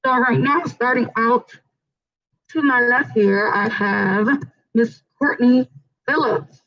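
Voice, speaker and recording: A woman with a hoarse, raspy voice hosts a live fitness panel in an upbeat, chatty way, with plenty of fillers. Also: fairly high pitch, slow, fairly bright tone. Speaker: panel host and fitness trainer. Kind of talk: casual live panel moderation. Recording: dull lo-fi recording.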